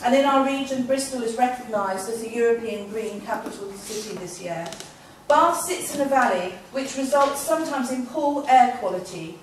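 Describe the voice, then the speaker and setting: A woman stands at a meeting and reads her prepared opening statement from notes, stumbling now and then. Her voice sits a bit high. The sound is distant and heavily reverberant, with faint noise behind it. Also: moderate pace. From England. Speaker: parliamentary candidate. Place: meeting room with audience. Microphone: far room camera. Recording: echoey room from far off.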